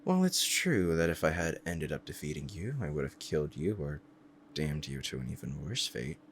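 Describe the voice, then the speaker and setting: A man's voice: rumbling low, big pitch swings, normal speed, a little hiss or hum. A man playing a powerful, proud demon devoted to a master, speaking in a formal, courtly and menacing way in an ASMR roleplay drama.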